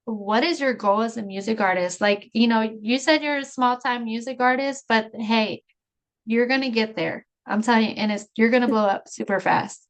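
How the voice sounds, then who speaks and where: A woman's voice: on the high side, normal speed. A woman hosting a podcast, upbeat and encouraging as she talks with her guest. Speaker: podcast host. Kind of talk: casual interview chat.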